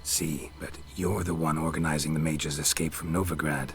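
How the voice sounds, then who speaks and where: An actor playing a gruff, stoic monster hunter in a video game, terse and deadpan. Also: male voice, a bass voice, moderate pace, soft background music. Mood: curious, dry. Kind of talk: video game dialogue acting.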